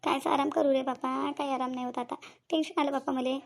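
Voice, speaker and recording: A woman's voice: high-pitched, fairly even intonation, fast, creaky. A woman voicing a fretful middle-aged mother in a cartoon family drama, pleading plaintively in a Varhadi Marathi accent. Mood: anxious pleading. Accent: Varhadi Marathi from Vidarbha.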